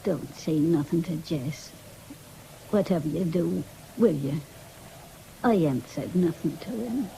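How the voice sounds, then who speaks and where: Poorly country mum, rural english accent, slow n mellow, stubborn, old radio drama w bit of hiss. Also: female voice, low-pitched, vocal fry, heavily acted, poor audio. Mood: pleading. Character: ailing, stubborn, fretful country farmer's wife and mother. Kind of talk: radio drama acting, 1970s.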